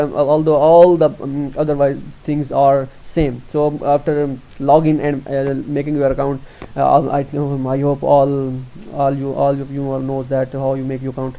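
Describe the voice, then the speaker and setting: A young man from Pakistan with a Pakistani accent, explaining an online form step by step, halting often with fillers and restarts. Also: mid-range voice, warm tone, rough recording. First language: Urdu. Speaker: tutorial presenter. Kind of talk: screen-recorded walkthrough.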